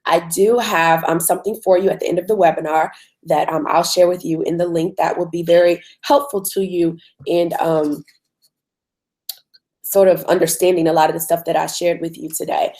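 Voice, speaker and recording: A woman with a hoarse voice from a cold, hosting a live online webinar in a conversational way with frequent ums. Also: on the low side, fairly even intonation, moderate pace, a little mellow. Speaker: webinar host.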